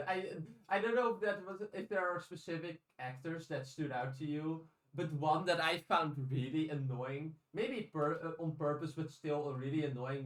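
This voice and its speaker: A man's voice: on the high side, far from the mic, poor audio. A man hosting a podcast in casual conversation, thinking aloud analytically and often restarting his phrases. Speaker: podcast host. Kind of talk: casual chat.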